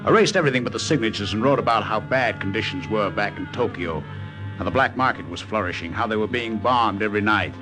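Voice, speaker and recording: An actor playing a bold, resourceful American wartime agent, narrating and acting in an old radio drama. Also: male voice, fairly low pitch, quick pace, music in the background, lightly acted, low-quality recording.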